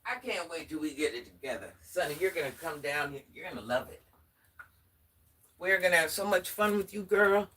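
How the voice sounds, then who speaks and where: An older woman with a deep voice, chatting casually on a livestream and talking straight to her viewers. She sounds stuffy from allergies. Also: lively intonation, moderate pace, a bit bright, breathy edge. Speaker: livestream host.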